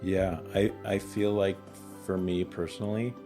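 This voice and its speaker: Man in his forties with a very low, flat voice. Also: a little slow, over music. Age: 40s. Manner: candid, hesitant with restarts. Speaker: podcast co-host. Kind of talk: casual chat.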